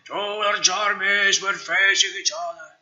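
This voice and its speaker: A man's voice: sits high, fairly even intonation, measured pace, pushed and bright, voice pushed up, full character voice. A man voicing a grand epic narrator of battles for a fantasy game voiceover, declaiming theatrically. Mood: grand, solemn. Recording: slightly dull, lo-fi stream audio.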